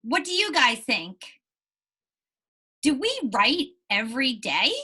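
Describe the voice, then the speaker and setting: A woman's voice: sits high, big pitch swings, takes it slowly, bright, forceful tone, breathy edge. A woman teacher reading aloud and explaining things to young pupils, encouraging them and often checking in with questions. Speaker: primary school teacher.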